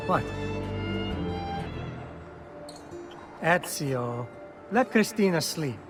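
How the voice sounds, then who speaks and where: A man's voice: fairly high pitch, lively intonation, slow pace, a bit bright. A man playing a playful, confident young Italian nobleman and elder brother in a video game, with an Italian accent. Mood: warm, easygoing. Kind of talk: dramatic video game acting. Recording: music under the voice.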